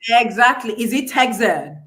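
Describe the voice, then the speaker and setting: A woman's voice: a little high, expressive, moderate pace, fairly bright tone. A woman hosting a class discussion, chiming in with eager agreement.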